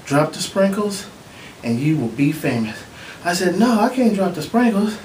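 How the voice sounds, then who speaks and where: A man plays a weary veteran soul-group leader who grumbles ruefully about being held back by his bandmates. The voice sits a little high for a man. The sound is roomy, with a light hiss behind it. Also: big pitch swings, moderate pace, darkish tone. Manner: rueful, put-upon bragging. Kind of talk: comedy sketch acting. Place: church building indoors. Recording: echoey room with faint noise.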